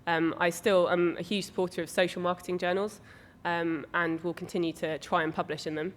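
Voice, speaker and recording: A woman on an academic panel answering candidly, with hesitant pauses. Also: fairly low pitch, flat delivery. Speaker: marketing lecturer on a panel.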